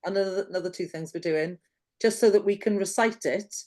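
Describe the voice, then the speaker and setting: A woman's voice: mid-range voice, quick pace, a little mellow. A woman in a casual church discussion group, agreeable and plain-spoken.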